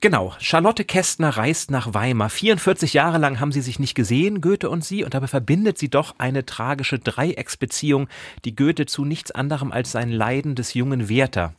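Clear-voiced man hosting, close and dry. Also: mid-range voice, lively intonation, moderate pace, no room sound. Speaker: podcast host. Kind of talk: chatty literary podcast presenting.

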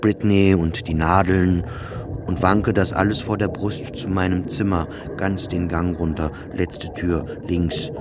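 A man's voice: low voice, steady tone, unhurried, noisy background. A man playing a depressed, anxious, self-loathing psychiatric patient who narrates in a confessional radio drama. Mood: weary, resigned. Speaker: narrator of an autobiographical radio play. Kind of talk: scripted first-person radio drama narration. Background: music behind the voice.

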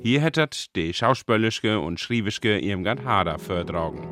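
A man with a northern Low German accent, narrating a scripted radio report. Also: expressive, measured pace, loud music behind the voice.

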